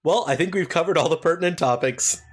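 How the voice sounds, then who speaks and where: A man's voice: a little high, quick pace, husky. Crude joking young man on a podcast. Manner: crude, joking, laughs often. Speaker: podcast co-host. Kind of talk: casual banter.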